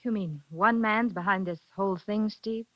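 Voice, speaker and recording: A woman's voice: mid-range voice, normal speed, dry recording, close to an everyday voice. An actress playing a breezy, flirtatious American woman in 1940s radio drama style. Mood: puzzled, startled. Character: breezy, flirtatious American female companion of investigator. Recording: muffled vintage 1940s radio recording.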